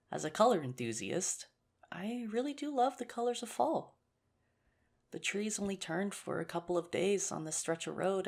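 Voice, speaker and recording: A woman playing a nerdy, earnest young teacher shyly courting a crush in a conversational ASMR romantic roleplay, gently self-mocking and a little hesitant. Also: fairly low pitch, gravelly, full character voice. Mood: playful, caring.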